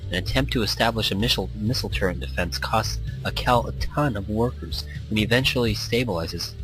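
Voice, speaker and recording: A man's voice: fairly low pitch, fairly quick, low-quality recording. A man hosting a let's play, reading visual novel text aloud in a playful, theatrical way. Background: background music.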